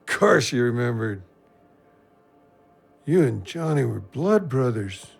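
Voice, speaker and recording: A man's voice: medium pitch, very animated, slow, creaky, breathy edge, faint music behind, full character voice. An actor playing a grief-stricken, drunken friend brooding on his guilt in an audio drama. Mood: wistful.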